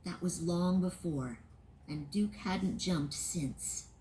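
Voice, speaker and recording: A woman narrating a children's read-along storybook in an expressive, sing-song way. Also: on the low side, slow pace, slight background noise, rough recording.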